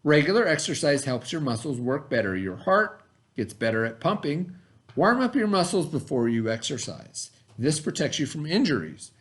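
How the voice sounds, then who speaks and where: Animated middle-aged man reading a lesson aloud. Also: on the high side. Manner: instructional, pauses to define and repeat terms. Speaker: teacher reading aloud to children. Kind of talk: read-aloud lesson with explanatory asides.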